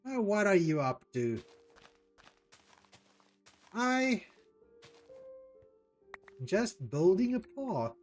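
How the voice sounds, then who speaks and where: Slow-talking Afrikaans-accented man. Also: high voice, big pitch swings, faint music behind. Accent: Afrikaans South African. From South Africa. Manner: unhurried, hesitant, with frequent ums while reading out chat. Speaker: streamer. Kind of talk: casual chat while playing a game.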